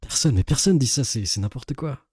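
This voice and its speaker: Mellow, sceptical man reacting casually. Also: mid-range voice, lively intonation, brisk, slightly rough, no echo, studio quality. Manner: sceptical, sarcastic, rambling. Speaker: YouTuber reacting to a video.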